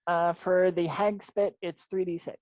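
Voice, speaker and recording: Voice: mid-range voice, little pitch movement, measured pace, darkish tone, muffled recording. A game master talking casually at the table, answering players and ruling on their moves.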